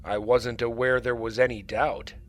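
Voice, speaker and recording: An actor playing an excitable, wry alien starship captain in an audio drama, brisk and expressive. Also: male voice, mid-range voice, natural voice. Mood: amused curiosity.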